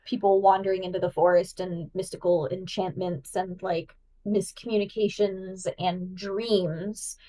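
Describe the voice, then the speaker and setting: A woman's voice: lowish voice, little pitch movement, mellow, distant mic, poor audio. A woman co-hosting a podcast as guest, chatting eagerly about theater. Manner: enthusiastic, chatty, quick asides. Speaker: guest host. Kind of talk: casual podcast chat.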